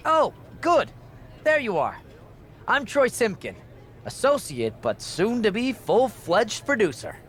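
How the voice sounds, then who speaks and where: A chirpy junior TV producer in a game cutscene, heard over background noise. His pitch jumps around a lot as he chatters in an eager, overfamiliar, officious way. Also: wildly swooping pitch, slow pace, heavily acted. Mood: chummy. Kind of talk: scripted video game cutscene acting.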